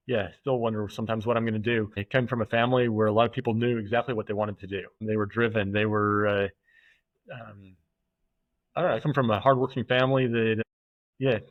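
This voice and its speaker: A man's voice: medium pitch, no room sound. Thoughtful man, dull recording. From United States. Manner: thoughtful, halting, with many fillers and restarts. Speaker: podcast guest. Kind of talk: casual conversational interview. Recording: dull, muffled podcast audio.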